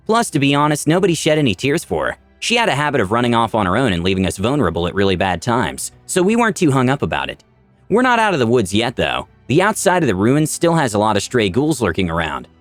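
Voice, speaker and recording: Casting: man, mid-pitched, highly animated dramatic story narrator, dry studio sound. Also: dry recording. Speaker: channel narrator. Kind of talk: dramatic scripted story narration in first person.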